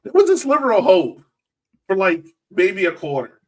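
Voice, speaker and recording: A man's voice: sits high, wildly swooping pitch, normal speed, a little mellow. A man hosting a casual group chat, joking and exclaiming as he banters. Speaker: podcast host.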